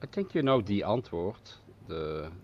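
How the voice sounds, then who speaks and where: A man's voice: on the low side, very animated, measured pace, lo-fi sound. A man chatting casually, often repeating his short phrases. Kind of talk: casual chat. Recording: noisy background ambience.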